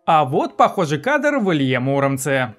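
A man's voice: on the high side, very animated, moderate pace, loud music behind the voice. A man in his thirties presenting a scripted video essay as a film review host, recorded indoors in a small room.

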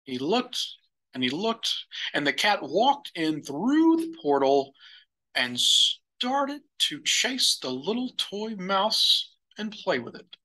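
A man narrating a children's picture book for storytime in a deliberate manner. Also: high voice, big pitch swings, pushed and bright, low-quality recording. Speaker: storybook reader.

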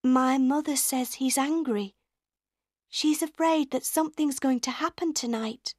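A woman voicing a nervous young girl dancer who speaks high and worried in a storybook reading. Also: a little slow, darkish tone, no room sound, clean studio sound.

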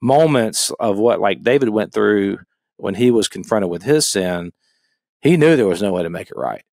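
A middle-aged man with a fairly low voice discusses theology casually as a podcast co-host. He is earnest and circles back on phrases to stress them. The recording is close and dry. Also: animated, normal speed, creaky, a little mellow, dry recording. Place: small home office with bookshelves. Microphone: close studio microphone, headset monitoring.

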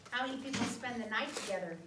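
Middle-aged lady reading a kids book out loud in a classroom, high voice, echoey, noisy recording. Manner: expressive, drawn-out reading with checking questions. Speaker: guest storyteller reading to schoolchildren. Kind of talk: picture-book read-aloud with questions to children. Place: indoor school classroom. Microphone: distant phone or camera across the room. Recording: roomy, distant mic, noisy classroom.

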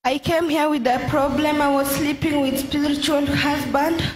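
A woman giving a slow, earnest testimony into a handheld mic in a church hall. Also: high voice, steady tone. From Kenya. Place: large indoor church hall. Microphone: handheld mic held by an usher. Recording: echoey hall with faint crowd noise.

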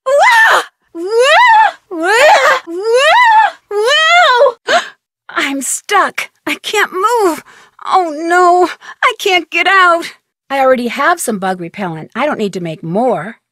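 A woman voicing an inquisitive, polite young female detective in a video game, with expressive delivery. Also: very high-pitched, slow pace, no echo, clean studio sound, full character voice. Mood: alarmed, then matter-of-fact.

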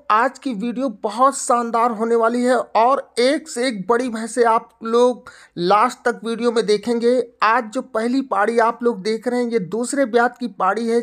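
A woman hosting a livestock video, narrating off camera through a buffalo shed in an upbeat sales pitch aimed at farmers. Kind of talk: off-camera farm tour narration.

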